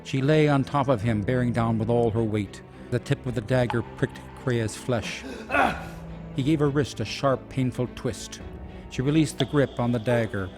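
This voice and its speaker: A man's voice: mid-range voice, normal speed. A man narrating a scripted fantasy radio play in a dramatic storytelling style. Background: music.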